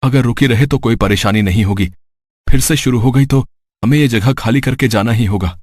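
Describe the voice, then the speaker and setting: A man's voice: medium pitch, a little fast, darkish tone, no room sound, natural voice. A man voicing a poor, anxious labourer and father in a cartoon story, speaking earnestly. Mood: reassuring. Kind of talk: Hindi cartoon story character voice.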